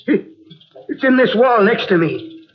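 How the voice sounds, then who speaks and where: An actor playing a stubborn, defiant older uncle confined to a cot, in 1940s radio acting style. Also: male voice, very high voice, animated, measured pace, noisy background. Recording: dull lo-fi old radio tape.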